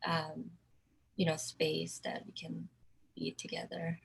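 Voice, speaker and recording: Slow, halting woman from South Korea. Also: low voice, monotone, creaky, slightly rough, rough recording. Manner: halting, searching for words. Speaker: artist. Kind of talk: virtual artist talk.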